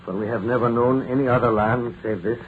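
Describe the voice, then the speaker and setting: A man's voice: mid-range voice, steady tone, fairly quick, close to an everyday voice. A man acting a hearty ancient soldier, tinny radio. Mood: subdued, wistful. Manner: archaic, plain-spoken, hearty soldierly phrasing. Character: friendly Roman legionary, British-born soldier of old. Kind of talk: old-time radio drama acting. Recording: tinny, noisy vintage radio recording.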